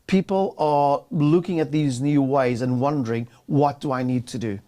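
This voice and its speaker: A man's voice: medium pitch, moderate pace, warm tone. A man co-hosting a casual business chat, often restarting and repeating words as he talks. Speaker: co-host.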